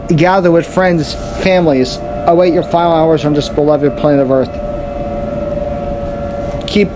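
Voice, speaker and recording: A man reads a scripted mock emergency broadcast as the announcer, solemn and repetitive, sometimes stumbling over his words. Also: a little high. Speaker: emergency alert announcer in a fan-made scenario. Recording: noisy amateur recording with loud background noise.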